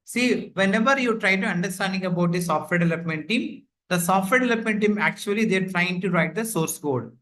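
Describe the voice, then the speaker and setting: Man, high voice, online lecture, rambling filler-heavy explaining, computer mic. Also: fairly quick, hardly any pauses, fairly bright tone. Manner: repetitive, filler-heavy explaining with frequent "like, you know". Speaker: instructor. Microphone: computer mic on a video call.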